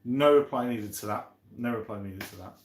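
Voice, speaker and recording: A man's voice: mid-range voice, normal speed, slight background noise. A man in his fifties hosting a casual live stream, chatting in a rambling, chummy way as he answers viewers. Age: 50s. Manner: rambling, chummy, thinking aloud. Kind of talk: casual chat, reading out and answering viewer comments. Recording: roomy echo.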